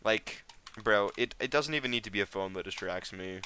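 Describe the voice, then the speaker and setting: A man's voice: on the low side, fairly quick, pushed and bright, slight background noise. A teenage American guy streaming a game, chatting casually with viewers in a rambling, slangy way. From United States. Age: teens. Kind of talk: casual live stream chat.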